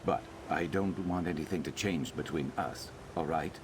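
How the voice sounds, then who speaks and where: A man voicing a weary, guarded reptilian warrior and former slave in a video game, speaking in a measured, plainspoken way. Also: background noise. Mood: earnest, hesitant. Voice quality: very deep, gravelly. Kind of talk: video game character dialogue.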